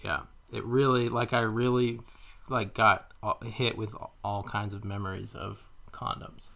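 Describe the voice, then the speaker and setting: A man co-hosting a podcast in casual chat, teasing with quick quips and frequent laughs. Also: fairly low pitch, flat delivery, vocal fry, a bit bright. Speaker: podcast co-host.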